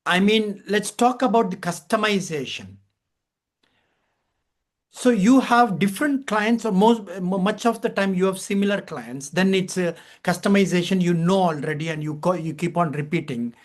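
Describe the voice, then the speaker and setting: A man's voice: high-pitched, normal speed. A man hosting a conversational interview, wordy and reflective, with questions that meander. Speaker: interview host.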